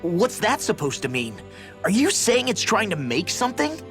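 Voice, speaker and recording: A man voicing a blunt, excitable teenage boy fighter in a dramatic video game dub. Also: sits high, normal speed, soft background music, exaggerated voice. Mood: confused, urgent.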